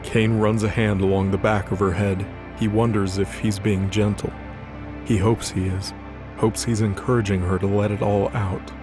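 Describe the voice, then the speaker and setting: A man's voice: fairly low pitch, little pitch movement, normal speed, music in the background. A man narrating scripted dramatic fiction as the storyteller of an audio drama.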